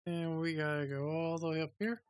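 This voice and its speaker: A man's voice: fairly high pitch, steady tone, brisk, clear, resonant tone, faint music behind. A man giving casual playthrough commentary, muttering to himself as he plays and reads. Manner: muttering to himself, reading on-screen text aloud. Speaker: video game player.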